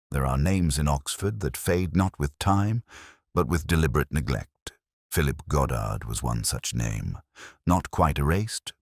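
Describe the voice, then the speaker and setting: A man narrating a scripted detective story in the first person, with a husky voice and a measured, solemn, literary delivery. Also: a bass voice, no echo, clean studio sound.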